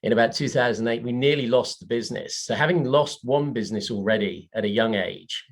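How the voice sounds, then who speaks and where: A man with a gravelly voice answers as a panel guest. He speaks haltingly and often restarts his sentences. The sound comes over a video call. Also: mid-range voice. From England.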